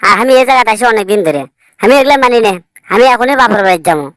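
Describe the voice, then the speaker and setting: নারী কণ্ঠে দ্রুতভাষী ঝগড়াটে গ্রাম্য বউ, উত্তরবঙ্গের টান. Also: female voice, fairly high pitch, expressive, rapid-fire, fairly bright tone, dry recording, clean studio sound. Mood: nagging, complaining. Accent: northern Bangladeshi. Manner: insistent, complaining, scolding. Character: quarrelsome young village wife, nagging and defiant. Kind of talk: comic cartoon dialogue.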